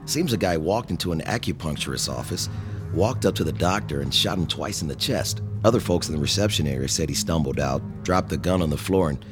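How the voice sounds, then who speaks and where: A man's voice: low-pitched, animated, brisk. An actor playing a male police officer who briefs his partner in a clipped, matter-of-fact way. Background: music.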